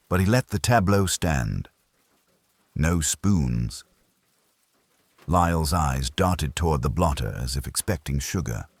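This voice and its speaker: A man reading a first-person detective story as a narrator, in a dry, measured way with a raspy, gravelly voice. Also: rumbling low, dry recording. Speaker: audiobook narrator.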